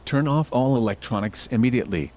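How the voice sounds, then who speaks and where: A man's voice: mid-range voice, fairly quick, a little mellow. A synthetic text-to-speech man's voice reading a scripted emergency alert in a formal, stilted, automated way. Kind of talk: scripted emergency broadcast announcement. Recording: dull lo-fi audio.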